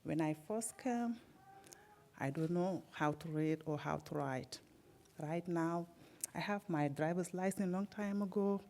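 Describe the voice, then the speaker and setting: A woman's voice: lowish voice, unhurried, darkish tone. A woman from Senegal, whose first language is Wolof, speaking in simple non-native English as the student speaker at a graduation, heartfelt and a little nervous. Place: event hall at a library graduation.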